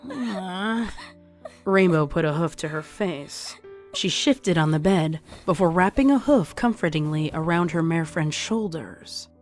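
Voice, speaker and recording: A woman's voice: low-pitched, moderate pace. A woman reading scripted horror fiction as a dramatic story narrator. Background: music under the voice.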